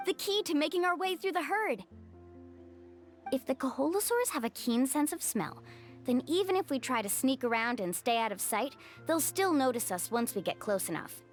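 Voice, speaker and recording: A woman's voice: high-pitched, very animated, moderate pace. A woman voicing a cheerful young woman who guides her group and takes the lead. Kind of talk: game voice acting. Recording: game audio with faint music.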